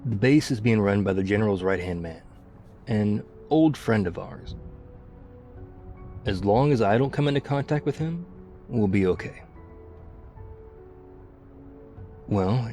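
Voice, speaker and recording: Man playing a low-voiced, battle-weary apocalypse survivor. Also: warm tone, natural voice. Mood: terse, focused. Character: battle-hardened zombie apocalypse survivor, weary, guarded, quietly caring man. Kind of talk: ASMR audio roleplay, intimate one-sided dialogue.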